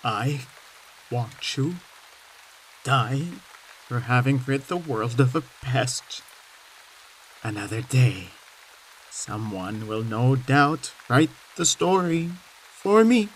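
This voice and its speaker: A proud condemned prisoner in an audio drama, speaking slowly at a middling pitch. He is solemn, declamatory and defiant. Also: lively intonation, a little hiss or hum, low-quality recording. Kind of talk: theatrical audio drama acting.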